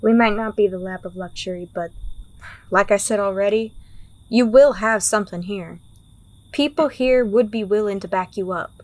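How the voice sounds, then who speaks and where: A woman's voice: mid-range voice, normal speed, darkish tone, no room sound, close to an everyday voice. A woman playing a world-weary, pragmatic underworld operative in a fantasy audio drama, blunt and wry with a teasing edge. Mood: firm, persuasive.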